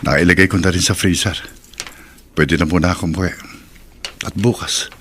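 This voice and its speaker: Radio drama: sensible Filipino fisherman, steadying friend, bright forceful delivery, slightly noisy track. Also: low-pitched, fairly even intonation, moderate pace. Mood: matter-of-fact. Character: Tom, practical, reassuring fisherman and restaurant owner.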